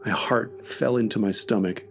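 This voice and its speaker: Male horror podcast narrator, low pitch, scripted first-person storytelling over music. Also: steady tone, moderate pace, creaky.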